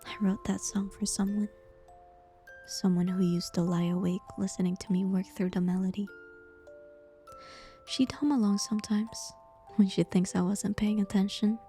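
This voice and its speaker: A woman playing a tender, heartbroken bard facing a former lover in an intimate fantasy ASMR roleplay, speaking softly and wistfully in a breathy near-whisper. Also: mid-range voice, fairly even intonation, soft background music, close to an everyday voice. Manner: slow, gentle, wistful delivery with pauses.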